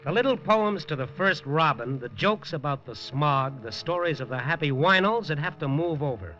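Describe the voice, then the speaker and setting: Tough noir reporter narrating, sharp bright voice, quiet music, old radio. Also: male voice, fairly high pitch, lively intonation, moderate pace, lo-fi sound. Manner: wry, clipped noir delivery. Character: hard-boiled newspaper reporter narrating.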